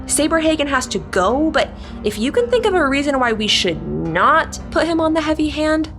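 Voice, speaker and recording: A woman playing a brisk, commanding official giving orders in an audio drama. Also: high voice, big pitch swings, fairly bright tone, soft background music, full character voice. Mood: brisk, scheming.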